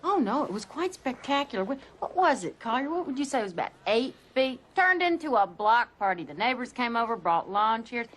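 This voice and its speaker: A woman's voice: high-pitched, animated, normal speed, bright, forceful tone, a little hiss or hum. An actress playing a defiant Southern mother in a film drama, outspoken and sardonic, with a Southern US accent. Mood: sarcastic. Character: fiery, defiant Southern mother with biting sarcasm.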